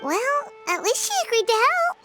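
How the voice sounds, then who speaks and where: Super high squeaky fairy kid, chatty game sidekick, really bouncy, music behind. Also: female voice, normal speed. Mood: relieved. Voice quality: squeaky, childlike. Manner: perky, expressive, childlike delivery. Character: tiny childlike floating fairy girl companion, chatty, earnest. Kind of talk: anime-style video game voice acting.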